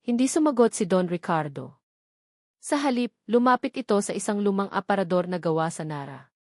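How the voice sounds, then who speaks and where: A woman reading a dramatic love story as narrator, in a solemn, sentimental and deliberate way. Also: medium pitch, lively intonation, darkish tone, dry recording, studio quality.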